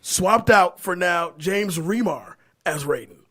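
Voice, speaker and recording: A man hosting a casual movie podcast, bantering in an animated, profane way. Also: sits high, takes it slowly. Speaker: podcast host.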